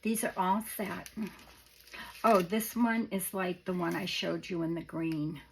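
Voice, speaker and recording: A hoarse woman showing off craft supplies in a casual show-and-tell, gushing softly and often dropping to a whisper. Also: fairly low pitch, fairly even intonation, a little slow, slight background noise, poor audio. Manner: soft-spoken, gushing, often drops to a whisper. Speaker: craft vlogger.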